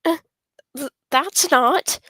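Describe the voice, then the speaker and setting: A woman's voice: high-pitched, slow, bright, forceful tone, no echo, heavily acted. A woman voicing a shy, polite, bookish teenage schoolgirl from a visual novel, timid and hesitant. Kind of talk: visual novel character read on stream.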